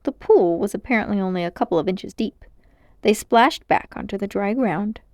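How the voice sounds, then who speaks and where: A woman reading a children's story aloud as narrator, in an expressive storytelling style. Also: medium pitch, moderate pace, dry recording, clean studio sound.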